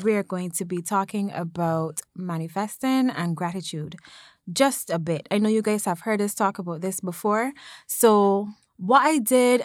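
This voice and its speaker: A woman's voice: medium pitch, darkish tone, no room sound. Woman in her 20s hosting a podcast, chill slangy talk, dry close mic. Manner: laid-back, slangy, teasing. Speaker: podcast co-host. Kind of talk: casual podcast chat.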